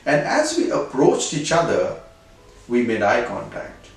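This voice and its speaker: A man's voice: medium pitch, measured pace, vocal fry. Indian man telling a story in an echoey room. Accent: Indian English. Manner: animated, conversational, moral at the end. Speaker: storyteller host. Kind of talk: personal anecdote storytelling. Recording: very echoey room, distant mic, faint music.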